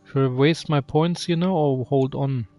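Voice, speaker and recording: A man's voice: medium pitch, measured pace, mellow, soft background music. A man giving casual gameplay commentary, musing aloud, reading menu text and asking himself questions. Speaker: video game player and channel host.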